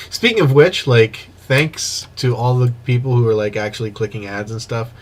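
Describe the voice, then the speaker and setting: A man's voice: mid-range voice, measured pace. Sniffly man casting a game. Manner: excitable play-by-play with casual asides. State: stuffy, sniffly nose. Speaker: game commentator. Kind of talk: casting a strategy game replay. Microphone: old microphone he wants replaced.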